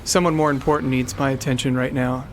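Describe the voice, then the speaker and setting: A man's voice: mid-range voice, fairly even intonation, quick pace, a little hiss or hum, lightly acted. Man playing a curt, guarded military father. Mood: dismissive, sarcastic. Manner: curt, sarcastic, dismissive. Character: gruff, guarded military officer and protective father. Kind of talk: audio drama acting. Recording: music behind the dialogue.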